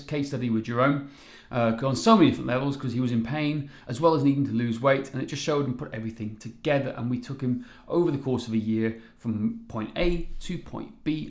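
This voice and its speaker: A man's voice: mid-range voice, reverberant. A man in his forties with a Welsh accent, Welsh his first language, giving a live fitness webinar in an upbeat, chatty way full of rhetorical questions. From Wales. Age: 40s. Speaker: fitness coach and company founder.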